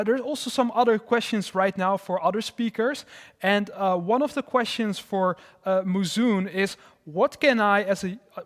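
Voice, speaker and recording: Man, panel host, affirming, reads audience questions, high voice, lingering reverb. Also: fairly bright tone. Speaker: host, moderator. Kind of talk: live studio moderation.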